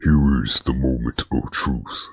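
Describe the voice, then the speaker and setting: Brief: male commentary host, extremely low and husky, unhurried, dry close sound. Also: fairly even intonation, no echo. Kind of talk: commentary vlog.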